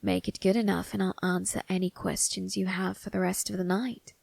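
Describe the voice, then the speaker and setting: An eerie, ageless male undertaker, acted by a woman speaks in an ASMR roleplay. The voice is husky and breathy, crackling heavily with creak. The phrasing is formal and slyly amused, needling the listener with soft tag questions. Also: medium pitch, flat delivery, no room sound. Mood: amused, coaxing. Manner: slow, formal, teasing delivery with drawn-out tag questions.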